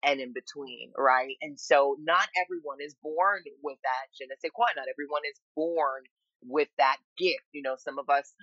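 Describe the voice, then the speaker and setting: A woman's voice: low-pitched, sharp tone, dry recording, lo-fi sound. A woman tarot reader with a Puerto Rican family background, speaking as a guest in a casual interview, circling her thoughts with restarts and "you know.".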